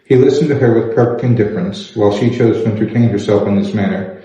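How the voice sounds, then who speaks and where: A man's voice: lowish voice, quick pace, mellow. A man narrating a classic novel aloud as a storyteller. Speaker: reader. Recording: echoey room, slightly dull sound.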